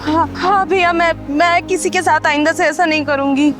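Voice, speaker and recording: A woman's voice: very high voice, fairly even intonation, moderate pace, over music. A young woman playing an arrogant, argumentative customer in a short moral drama. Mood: frightened, submissive. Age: young adult. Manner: haughty, argumentative. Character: arrogant, haggling, rude young female customer.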